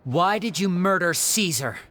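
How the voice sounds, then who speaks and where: An actor playing a clever, showy young male magician in a video game, smooth and theatrical in manner. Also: high-pitched, expressive, unhurried, background noise. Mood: confronting.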